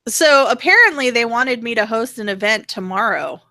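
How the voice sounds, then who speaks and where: A woman's voice: on the high side, lively intonation, normal speed, sharp tone, dry recording, studio quality. A woman co-hosting a rant show, chatting casually and frankly with opinions and the odd swear word. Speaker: co-host of a political rant show.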